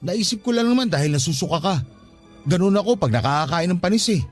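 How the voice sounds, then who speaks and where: A man's voice: high-pitched, lively intonation, quick pace. A Filipino actor playing an earnest, pleading young father in a Tagalog radio drama, speaking in a coaxing, conversational way. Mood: casual, concerned. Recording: background music under the dialogue.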